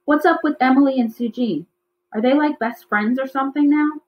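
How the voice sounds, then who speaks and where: A woman's voice: sits high, brisk, pitched above the performer's own voice, lightly acted. A woman voicing a teasing, casual schoolboy in a storybook. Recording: slightly dull, lo-fi recording.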